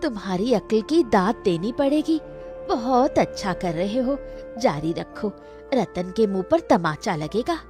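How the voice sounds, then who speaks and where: A woman's voice: mid-range voice, lively intonation, a little slow, dark tone, lightly acted. Lady doing village bhabhi for a cartoon, supportive but holds a grudge, emphatic, music under it. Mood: approving. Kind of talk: Hindi cartoon story dialogue.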